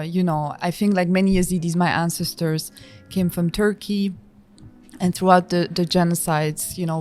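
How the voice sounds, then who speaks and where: Woman podcast guest from Georgia, raised in France; low-pitched and measured, with faint music behind. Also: darkish tone. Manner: thoughtful, measured, frequent fillers. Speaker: guest, executive director of a nonprofit. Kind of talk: podcast interview.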